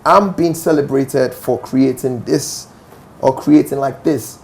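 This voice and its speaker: Ghanaian male musician, young, gravelly, insistent interview talk. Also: medium pitch, slow pace. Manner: assertive, with frequent "do you understand?" asides. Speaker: musician guest. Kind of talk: interview answers.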